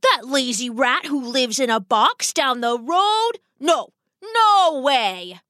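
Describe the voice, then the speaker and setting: A woman's voice: high voice, very animated, unhurried, bright, forceful tone, dry recording, clean studio sound, heavily acted. A woman voicing a stubborn, doting old father rat with theatrical, emphatic bluster in a children's story. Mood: indignant, shocked.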